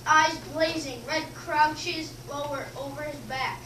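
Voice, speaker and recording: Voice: high-pitched, fairly even intonation, slow pace, bright, forceful tone. A young student, a girl, reading scripted narration aloud in a readers theater. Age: child or young teenager. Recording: echoey, noisy room, distant mic.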